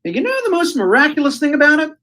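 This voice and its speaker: A man's voice: very high-pitched, sing-song, very fast, sharp tone, full character voice. A man playing a boastful, cheerful husband with a folksy manner in an old-time radio comedy.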